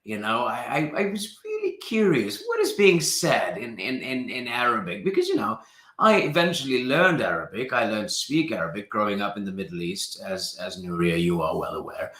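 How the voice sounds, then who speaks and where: A man's voice: medium pitch, very animated, moderate pace, fairly bright tone, roomy sound. A young man from Pakistan with a well-traveled British accent, chatting as a guest in an interview, hesitant with many restarts. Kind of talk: casual interview chat.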